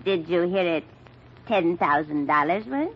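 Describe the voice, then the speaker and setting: Muffled vintage radio, middle-aged matriarch, haughty, calculating, raspy, wide swooping intonation. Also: female voice, lowish voice, animated, a little slow, exaggerated voice. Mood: cool, calculating. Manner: haughty, controlled. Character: cool, imperious, scheming middle-aged family matriarch. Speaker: radio drama actor. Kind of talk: old-time radio mystery acting. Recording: muffled old-time radio recording.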